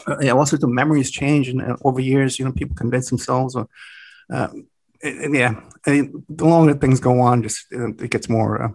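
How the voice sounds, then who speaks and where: A man's voice: medium pitch, fairly even intonation, brisk, darkish tone, low-quality recording. A man in casual conversation, speaking hesitantly while searching for his words. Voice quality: hesitant, many fillers and restarts.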